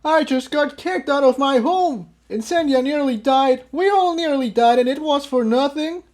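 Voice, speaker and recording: A man's voice: extremely high pitch, normal speed, darkish tone, pitched above the performer's own voice. A man voicing an anguished, bitter young male pony in an indignant, overwrought way, as in an audio storybook. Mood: despairing, angry. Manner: indignant, pleading, emotionally overwrought.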